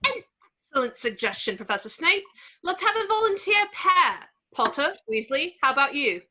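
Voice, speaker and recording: A woman's voice: sits high, very animated, normal speed, sharp tone, full character voice. A woman voicing a vain male wizard teacher in a script read, theatrical and breezy, on a dull home mic. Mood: showy confidence. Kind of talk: online script table read.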